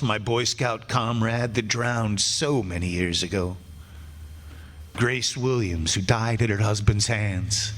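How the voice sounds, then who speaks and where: A man acting a condemned man who wanders among ghosts, narrating a radio drama in a slow, solemn way. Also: mid-range voice, lightly acted. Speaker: radio play actor. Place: stage performance.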